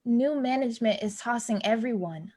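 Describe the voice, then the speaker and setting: Woman acting anxious young female worker, plainspoken, fairly high voice, everyday stage drama dialogue. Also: moderate pace, a little mellow, natural voice. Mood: worried, resigned. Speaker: actor in a staged play reading. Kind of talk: naturalistic stage dialogue reading.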